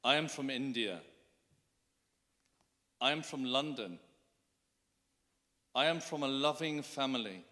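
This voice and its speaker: A man's voice: medium pitch, sharp tone. A middle-aged man reading another writer's poem on a concert stage, line by line, into a handheld mic. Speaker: poetry reader. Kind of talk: measured poetry reading. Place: wood-panelled concert hall stage. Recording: echoey concert hall.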